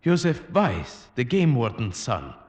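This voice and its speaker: A man's voice: medium pitch, huge pitch swings, darkish tone, slightly rough, dry recording, lo-fi sound, heavily acted. Grave man telling a frightening tale. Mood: somber. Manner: measured, grave, dramatic. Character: earnest male storyteller recounting a frightening hunt. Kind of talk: 1940s radio drama narration and acting. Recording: old radio broadcast under music.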